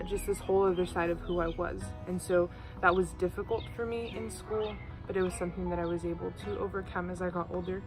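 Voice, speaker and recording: A nineteen-year-old woman from Oklahoma in an interview, talking thoughtfully with frequent ums and uhs. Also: fairly low pitch. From Oklahoma, USA. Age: teens. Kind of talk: casual recorded interview. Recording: music playing underneath.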